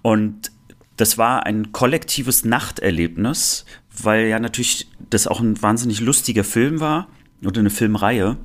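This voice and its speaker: A man's voice: fairly low pitch, measured pace. A man from the former Soviet Union, co-hosting a podcast, talking casually with many fillers and restarts. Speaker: podcast co-host. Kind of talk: casual chat.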